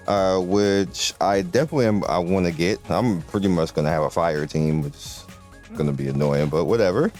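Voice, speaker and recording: A young man giving casual gameplay commentary, rambling and excitable, often restarting his sentences. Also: deep voice. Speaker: gaming video creator.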